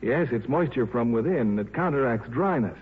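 A man's voice: medium pitch, lively intonation, normal speed, breathy edge. A man with an American accent reading a scripted 1950s radio advertisement as announcer. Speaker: commercial announcer. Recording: muffled thin 1950s radio recording.

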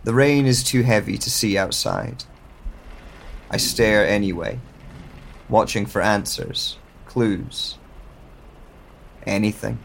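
A man plays a lost amnesiac narrating his own audio drama. His voice sits low and moves very slowly, pensive and halting as he searches for answers. Clear background ambience surrounds him. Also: animated, a little hiss or hum, full character voice.

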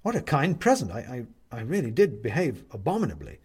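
A man voicing a flustered, awkward, newly softened man who stammers hesitantly in an audiobook story. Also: mid-range voice, huge pitch swings, fairly quick, slightly rough, full character voice. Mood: flustered, fervent.

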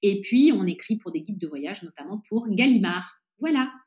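A woman's voice: mid-range voice, lively intonation, normal speed, a little mellow. Chatty French woman in her thirties. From France. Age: 30s. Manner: hesitant, many fillers and restarts. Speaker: podcast guest, entrepreneur. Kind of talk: casual interview chat.

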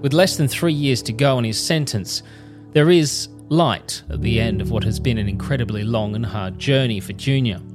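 A man narrating a documentary and putting questions to a guest, measured and scripted. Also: medium pitch. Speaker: documentary host and interviewer. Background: music.

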